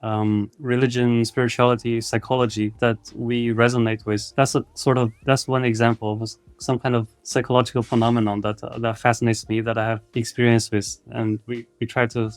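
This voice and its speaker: A man talks through his ideas in a conversational interview style. His pitch stays quite even and his delivery is thoughtful and explanatory. The sound is dry, with little room in it. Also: a little fast, few pauses, clear, resonant tone, no echo. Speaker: indie game developer, interview guest.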